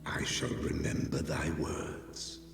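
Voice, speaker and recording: An actor voicing an ancient, solemn dragon in a video game, speaking in stately archaic phrases through a cavernous reverb. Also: male voice, completely flat delivery, slow, full character voice. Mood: grave warning. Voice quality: deep, gravelly. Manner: archaic, stately, portentous speech. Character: ancient, solemn male dragon, huge and grave. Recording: echoing long reverb, distant, faint music.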